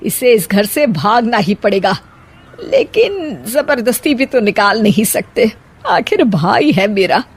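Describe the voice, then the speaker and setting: A woman plays a middle-aged mother-in-law from a family cartoon. Her voice sits on the high side. She is kind and easygoing but shrewd, and talks like a homely elder sister. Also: big pitch swings, takes it slowly, slightly rough, heavily acted. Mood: annoyed. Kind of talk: Hindi animated comedy story acting.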